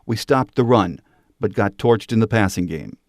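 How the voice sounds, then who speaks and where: A man's voice: lowish voice, animated, normal speed, darkish tone, no room sound, studio quality. A man, middle-aged or older, hosting a sports podcast and delivering prepared solo commentary. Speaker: podcast host.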